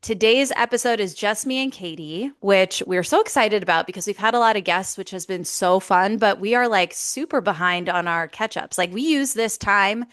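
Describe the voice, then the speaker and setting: A woman's voice: medium pitch, normal speed, hardly any pauses, fairly bright tone, no room sound. Upbeat woman podcast host, dry sound. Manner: upbeat, encouraging interjections. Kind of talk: casual podcast chat.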